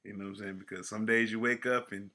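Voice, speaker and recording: A man's voice: fairly low pitch, fast talker. Slangy laid-back man in his forties. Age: 40s. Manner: animated, slangy, trailing off quietly at phrase ends. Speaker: podcast co-host. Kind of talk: casual chat.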